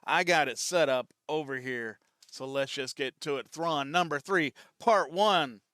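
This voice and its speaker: Guy doing a casual reaction recap, bright clear voice, kinda rambly, chatty. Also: a little high, unhurried, no room sound, studio quality. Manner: rambling, loose, conversational. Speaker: reaction video host.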